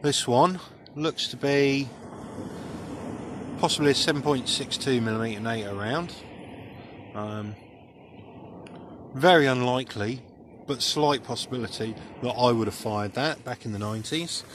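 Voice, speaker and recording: A middle-aged British man gives casual, off-the-cuff commentary in a halting, musing way as he looks around. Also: mid-range voice, noisy background. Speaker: vlogger. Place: outdoors on a beach below cliffs.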